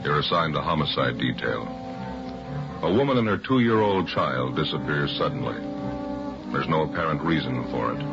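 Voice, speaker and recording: A man's voice: a bass voice, gravelly. A man doing scripted 1950s radio announcing in a declamatory, emphatic way. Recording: muffled vintage radio recording. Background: music.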